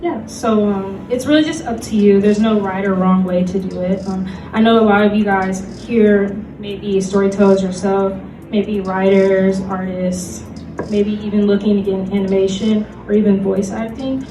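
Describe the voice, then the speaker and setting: A woman's voice: mid-range voice, steady tone, normal speed, background noise. Echoey, distant woman presenting at a panel. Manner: hesitant, conversational, frequent "like" as filler. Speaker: convention panelist. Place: convention panel room. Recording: echoey room from far off, music behind.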